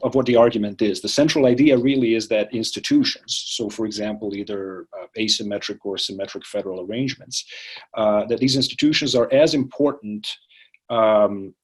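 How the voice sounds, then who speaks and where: Husky low-voiced man, hesitant academic. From Croatia. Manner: thoughtful, hesitant, many fillers and restarts. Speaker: interviewee, political science academic. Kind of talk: unscripted interview answers.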